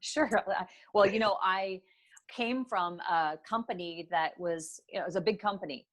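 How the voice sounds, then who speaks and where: A middle-aged woman from Northern Ontario, with French as her first language, talks through an interview with a former broadcaster's polish. Her voice sits fairly low for a woman. Her delivery is clear and articulate. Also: unhurried. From Northern Ontario, Canada. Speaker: guest, business owner. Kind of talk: interview answers.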